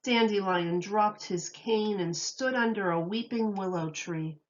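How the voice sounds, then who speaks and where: A woman teacher reading a children's story aloud, expressively, with deliberate, emphatic phrasing. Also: medium pitch, highs cut off.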